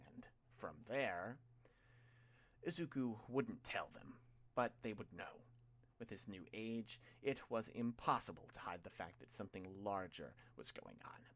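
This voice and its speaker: Low-voiced man narrating fiction. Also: fairly bright tone. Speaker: audiobook narrator. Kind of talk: scripted fiction narration, expressive.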